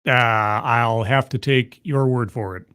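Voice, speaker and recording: A man's voice: medium pitch, a little slow, fairly bright tone, clean tone. A man commenting live on a video he is watching, making wry, skeptical asides and thanking viewers in the chat. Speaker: streamer reacting to a video. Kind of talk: casual live-stream commentary.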